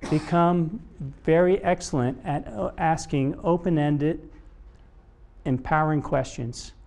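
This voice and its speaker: A middle-aged man giving a motivational keynote talk from a stage, speaking in deliberate, emphatic phrases with pauses. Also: fairly high pitch, dark tone. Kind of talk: motivational public talk, storytelling. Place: stage in a conference room before a seated audience. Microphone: lapel mic.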